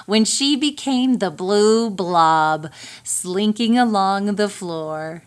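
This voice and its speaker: A woman reading a children's picture book aloud in an expressive, deliberate storytelling manner for young listeners. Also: medium pitch, smooth. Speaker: storybook reader.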